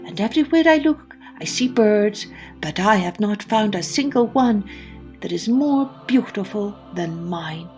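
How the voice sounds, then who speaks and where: A woman's voice: mid-range voice, expressive, measured pace, a little mellow, soft background music, natural voice. A woman voicing a devoted, proud mother crow, earnest and emphatic, in a children's storybook tale.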